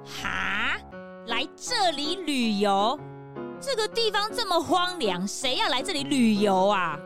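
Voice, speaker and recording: A woman voicing an excitable, blunt and curious young detective's sidekick in a children's audio drama. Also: high-pitched, slow, music in the background, full character voice. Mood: surprised, doubtful.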